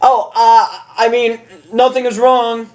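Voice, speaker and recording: A man's voice: extremely high pitch, flat delivery, takes it slowly, a little mellow, pitched above the performer's own voice, poor audio. A man voicing a nervous, lovestruck, brash young female cartoon pony with a flustered, stammering delivery. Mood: panicked.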